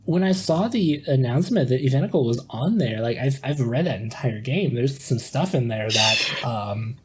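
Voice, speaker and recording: A man's voice: mid-range voice, lively intonation, normal speed, very noisy. A man in casual podcast conversation, hesitant and repeating his phrases as he thinks aloud. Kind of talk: casual chat.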